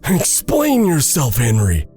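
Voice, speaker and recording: Give me a man putting on a zealous, stern pastor judge in a storybook, intoning commands in a raised pitch. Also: wildly swooping pitch, slow, warm tone, husky, soft background music, exaggerated voice. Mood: stern, accusing.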